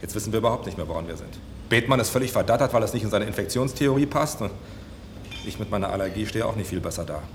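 A man's voice: lowish voice, animated, fast talker, slight background noise, natural voice. A man acting an eager, impatient hospital doctor in a German radio drama. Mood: impatient, troubled. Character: man, eager hospital doctor defending his allergy theory.

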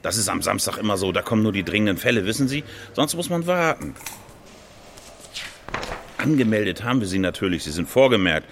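A man's voice: lowish voice, sing-song, a little fast, a bit bright, a little hiss or hum. An actor playing a matter-of-fact, casually dismissive male hospital nurse in a radio drama. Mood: brisk, dismissive.

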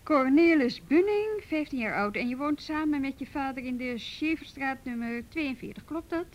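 An actress with a Netherlands Dutch accent playing a brisk, firm policewoman who handles youth cases in a radio drama. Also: high voice, animated, muffled recording. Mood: stern, probing. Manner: assertive, businesslike.

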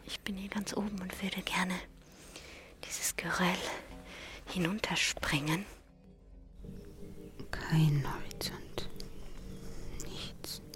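A woman's voice: fairly low pitch, takes it slowly, pauses often, music in the background. A woman speaking improvised poetic thoughts in a whispery voice.

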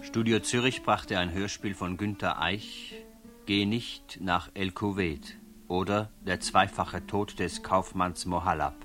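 A man reading formal closing credits as a radio announcer, in a measured manner. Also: deep voice, fairly even intonation, fairly bright tone. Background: faint plucked guitar music.

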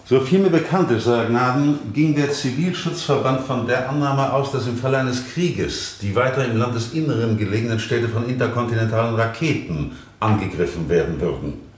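An actor playing a composed defence lawyer in a German radio drama, speaking formally and with measured patience. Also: male voice, mid-range voice, roomy sound, natural voice. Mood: formal explaining.

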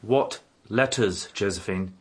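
A man's voice: on the low side, slow pace, noisy background. A man playing an earnest, protective young man in a radio drama, reassuring and coaxing but uneasy.

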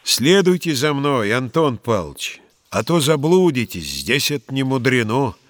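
Brisk, animated male messenger. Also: medium pitch, no echo. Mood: brisk, respectful. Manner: respectful, urging. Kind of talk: audio drama acting.

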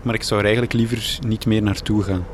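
An actor playing a meek, earnest newspaper journalist in a comic radio drama, with a Flemish accent and a hesitant, apologetic manner. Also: male voice, fairly low pitch, steady tone, fast, close to an everyday voice. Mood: reluctant. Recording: clear background noise.

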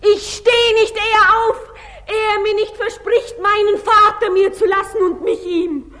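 Young distraught daughter, really high voice, slow pleading stagey delivery, old 1940s radio play. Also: female voice, fairly even intonation, pushed and bright, exaggerated voice. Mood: desperate pleading. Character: distraught young adopted daughter, devoted to her father. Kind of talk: 1940s German radio stage acting, declamatory.

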